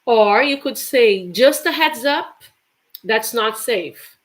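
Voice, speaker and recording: Woman, English teacher, somewhat high voice, slow, chatty. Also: expressive. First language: Portuguese. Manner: chatty, repeats example phrases with laughs. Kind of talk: live online English lesson.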